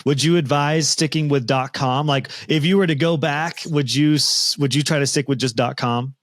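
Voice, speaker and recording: Man, podcast host, curious and chatty, mid pitch, dry close mic. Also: steady tone, no room sound. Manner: curious, chatty, quick asides. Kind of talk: casual interview chat. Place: home studio, indoors. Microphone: studio mic on boom arm.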